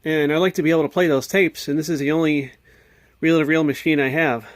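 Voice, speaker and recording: American guy, slightly high voice, casual hobby show and tell, kinda unsure, dry close sound. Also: steady tone, quick pace, no room sound. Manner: hesitant, thinking aloud, puzzled. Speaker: hobbyist video maker. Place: indoors at a home workbench.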